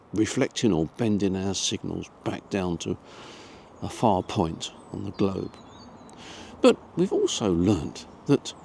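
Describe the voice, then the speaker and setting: An elderly British man explains things informally to the viewer, like a patient instructor. His voice sits fairly low, with a gravelly creak and lively rises and falls in pitch. He takes a measured, didactic approach. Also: a little hiss or hum. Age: 70s. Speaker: amateur radio presenter. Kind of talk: informal explanatory lesson to camera. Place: small home studio room.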